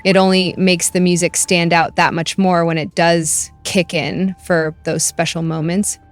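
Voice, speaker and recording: Brief: female narrator with a low-ish voice for a scripted game review, even and measured. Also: soft background music. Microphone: voice-over.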